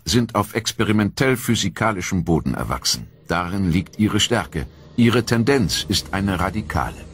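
An actor playing an earnest early twentieth-century mathematician, giving a formal, solemn and measured lecture. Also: male voice, on the low side.

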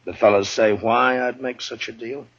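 A man's voice: medium pitch, fairly even intonation, normal speed, fairly bright tone, breathy edge. A man playing a tough, world-weary lawman, firm but fair and blunt, in a 1950s radio western. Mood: cold, defiant. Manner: authoritative, blunt. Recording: dull vintage radio recording.

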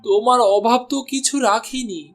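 A man's voice: very high voice, fairly even intonation, normal speed. A man voicing an honest, henpecked male butcher in a cartoon, speaking timidly and pleading. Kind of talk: cartoon voice acting.

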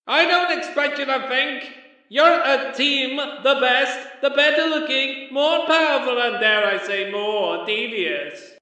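A scheming cartoon crime boss , voiced by a man, short-tempered and sarcastic. The sharp voice comes menacingly from a distance through an echoing megaphone. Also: extremely high pitch, takes it slowly, full character voice. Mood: scheming, irritated. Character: scheming, short-tempered villainous male tug fleet boss. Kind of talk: cartoon villain voice acting.

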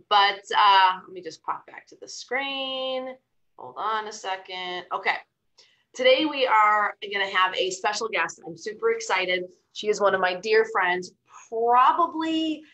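A middle-aged woman hosting a live show, chatting casually and rambling in an upbeat way, her voice raspy from a cold. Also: mid-range voice, bright, forceful tone, low-quality recording. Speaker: podcast host. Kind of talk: casual live-stream chat.

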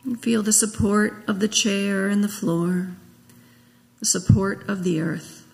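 A woman minister leading a guided meditation, speaking gently and in a measured way with long pauses. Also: medium pitch, steady tone, creaky. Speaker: minister leading a memorial service. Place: large church sanctuary, at a pulpit. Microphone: gooseneck pulpit microphone. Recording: long echo of a church hall.

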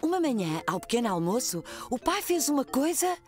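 A woman's voice: high voice, very animated, a little fast, a little mellow, faint music behind. Lilting woman narrating a cartoon. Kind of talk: storybook narration.

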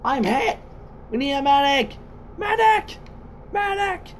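A man voicing an excitable soldier commander in amateur sci-fi video-game voice lines, giving urgent, halting battle callouts. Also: very high-pitched, slight background noise, voice pushed up, exaggerated voice. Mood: frantic shouting.

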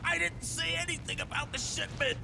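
An actor playing a cowardly mechanic in a video game, shaky, stammering and pleading. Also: male voice, very high-pitched, brisk, gravelly, bright, forceful tone, faint music behind, exaggerated voice. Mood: panicked. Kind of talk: video game cutscene acting.

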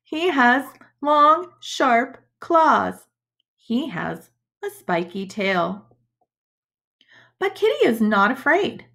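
Slow, lively, higher-pitched woman reading to children. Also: creaky. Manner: deliberate, expressive, addressing young children. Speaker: schoolteacher reading to pupils. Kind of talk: storybook read-aloud for children. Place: indoors, webcam screen recording.

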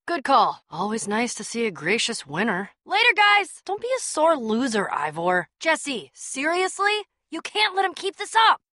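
Bold young adventurer girl for a video game, sardonic and punchy, really lively high voice. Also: normal speed, few pauses, dry recording, studio quality, exaggerated voice. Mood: wry, friendly. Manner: wry, punchy, casual.

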